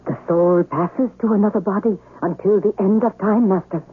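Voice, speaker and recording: A woman acting a humble, anxious servant wife in a vintage radio play. She sounds husky and speaks with solemn deference, through a thin, narrow old broadcast. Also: mid-range voice, normal speed, natural voice. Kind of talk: 1970s American radio drama acting.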